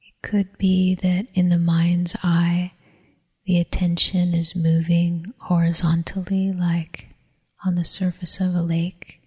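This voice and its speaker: A woman leading a guided meditation in a soft, whispery, breathy voice, calm and soothing, with long unhurried pauses. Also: lowish voice. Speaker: meditation teacher. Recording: long reverberant hall echo.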